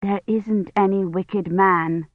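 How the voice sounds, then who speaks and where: Narrator lady as nervous young woman in a novel, hushed earnest voice, slow, close dry sound. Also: mid-range voice, fairly even intonation, no echo, narrow, tinny audio. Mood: soothing, uneasy. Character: apologetic, anxious, self-soothing young female historian. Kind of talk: audiobook character acting.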